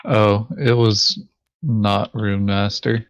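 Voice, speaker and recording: A man's voice: on the low side, fairly even intonation, slow, dry recording, dull audio. A man chatting casually as a co-host and player in a board game. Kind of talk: casual chat.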